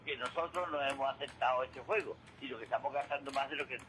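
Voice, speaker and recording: Male current-affairs commentator, sharp bright voice, emphatic and didactic, slight background noise. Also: medium pitch, fast talker, low-quality recording. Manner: emphatic, didactic, repeats points and asks rhetorical questions. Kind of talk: opinionated conversational radio commentary.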